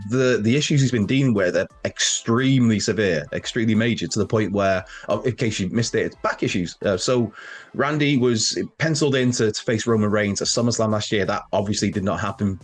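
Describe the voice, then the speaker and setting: A man's voice: fairly low pitch, lively intonation, brisk, slightly rough, soft background music. A man hosting a wrestling news show, sounding nasal and bunged up from a cold, rambling and correcting himself as he chats. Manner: rambling, self-correcting, chatty with asides. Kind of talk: casual news chat to camera.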